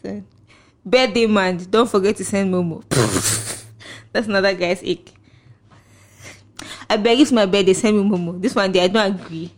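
Ghanaian girl, young, mid pitch, laughs a lot while chatting on a podcast. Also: normal speed. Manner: chatty, laughs often, reads out and reacts to listener posts. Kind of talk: casual chat.

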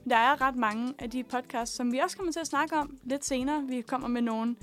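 En ung kvinde, der er medvært i et radiostudie og snakker løst og griner meget. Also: female voice, high-pitched, fairly bright tone, soft background music. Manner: quick, laughing banter. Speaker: radio host. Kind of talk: casual studio chat. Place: student radio studio.

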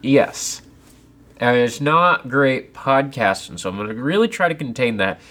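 A young man co-hosting a casual podcast from a home basement, a bit congested, animated and self-deprecating. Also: medium pitch, unhurried. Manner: animated, self-deprecating, sips tea while talking. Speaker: podcast co-host. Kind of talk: casual chat.

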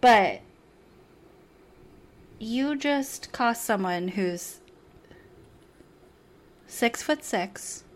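A woman's voice: fairly high pitch, lively intonation, drawn-out words, pauses often. A woman co-hosting a podcast in casual chat, playful and opinionated, laughing often. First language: not English. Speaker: podcast co-host.

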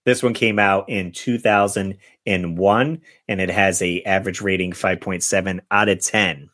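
Low-voiced man chatting casually as host. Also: fairly even intonation, moderate pace, fairly bright tone. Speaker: podcast host running a guessing game. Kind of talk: casual movie chat.

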